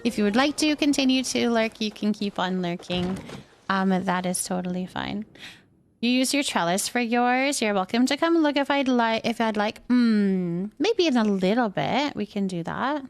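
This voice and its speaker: A woman hosting a livestream, chatting casually and thinking aloud as she plays a building game. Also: medium pitch. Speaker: livestream gaming host. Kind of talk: casual chat while playing a building game.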